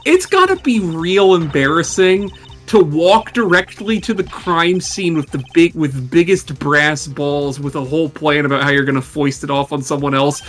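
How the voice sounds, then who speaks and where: Streamer, wisecracking, animated, mid-pitched, casual game commentary. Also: faint music behind. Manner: wisecracking, animated, slips into quick asides.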